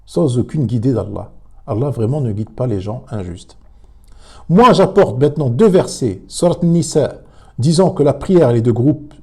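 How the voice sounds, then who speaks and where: A man commenting on a religious debate, emphatic but halting, with many repeated words and fillers. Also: medium pitch, darkish tone, slightly rough. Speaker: commentator reacting to a clip.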